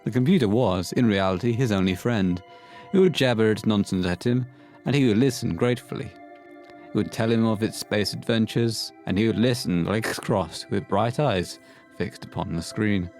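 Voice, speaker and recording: A man's voice: on the low side, normal speed, a little mellow, faint music behind. A man reading scripted narration as the storyteller of an audio horror play.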